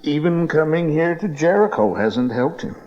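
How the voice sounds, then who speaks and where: An actor playing a grave, deferential court physician in an audio Bible drama, with a hesitant manner. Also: male voice, on the high side, expressive, normal speed, darkish tone, in a large hall, lightly acted. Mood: solemn. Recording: dull cassette tape transfer.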